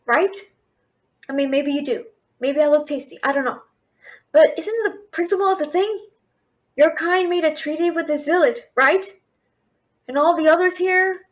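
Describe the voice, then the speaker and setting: A woman playing a brash, fast-talking young female thief and failed alchemist in a fantasy audio roleplay, chattering with sarcastic, exasperated bluster. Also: very high-pitched. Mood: pleading, indignant. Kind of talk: fantasy audio roleplay, addressing an unseen listener.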